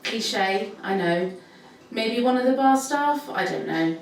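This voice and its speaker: A woman's voice: a little high, animated, a little slow, gravelly. A woman playing a guarded pub worker who tells local ghost tales in hesitant, clipped answers, as in a recorded interview. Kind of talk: naturalistic audio drama interview. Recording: echoey room, distant mic, faint noise.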